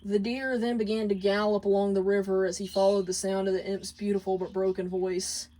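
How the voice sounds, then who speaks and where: A woman reading fan fiction aloud as a dramatic, deliberate story narration. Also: mid-range voice, level tone, mellow, poor audio.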